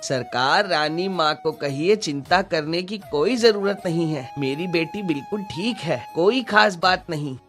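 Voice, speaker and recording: Man as poor fisherman, cartoon story, slightly high voice, worried, earnest, humble. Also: lively intonation, measured pace, hardly any pauses, a bit bright, faint music behind. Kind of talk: Hindi cartoon story dubbing.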